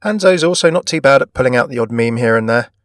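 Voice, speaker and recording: A man's voice: mid-range voice, animated, quick pace, a bit bright, no echo, clean studio sound. A man presenting a gaming video in a scripted narration style. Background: background music.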